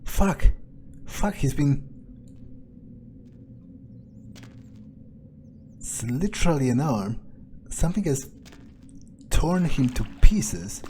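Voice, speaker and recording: A man roleplaying a detached, pragmatic male vampire in a game playthrough, musing aloud with hesitant pauses. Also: a little high, sing-song, mellow, a little hiss or hum. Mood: startled. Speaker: player roleplaying a character. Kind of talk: in-character game playthrough narration.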